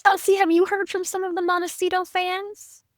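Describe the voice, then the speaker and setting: A woman's voice: very high-pitched, level tone, normal speed, no room sound, studio quality. A woman hosting a podcast, putting questions to her guests in a conversational interviewing style.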